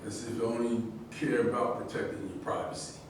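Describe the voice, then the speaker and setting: Distant, echoey actor as an insistent athlete. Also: male voice, medium pitch, little pitch movement, fairly quick, close to an everyday voice. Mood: defiant. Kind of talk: staged play reading. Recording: very echoey room, distant mic, faint noise.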